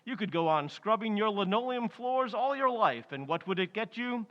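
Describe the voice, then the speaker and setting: A man's voice: high voice, lively intonation, normal speed, dull audio. A man playing a hearty 1940s radio sponsor announcer, delivering an emphatic, salesmanlike read. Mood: upbeat.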